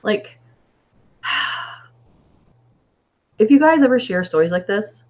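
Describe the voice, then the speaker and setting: A woman hosts a casual live stream, reading stories and chatting between them. Her voice is hoarse, as if it is wearing out. She thinks aloud and wanders from point to point. She speaks into an inexpensive desktop microphone. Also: medium pitch, animated, drawn-out words, a little mellow. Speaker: story reader and live streamer. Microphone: budget desktop microphone.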